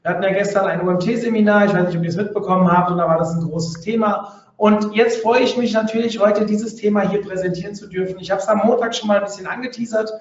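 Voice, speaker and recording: A man's voice: high-pitched, fairly even intonation, very echoey, distant mic, dull audio. Man with a cold hosting a webinar. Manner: chatty, halting, many fillers. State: has a cold, coughing. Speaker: podcast and webinar host.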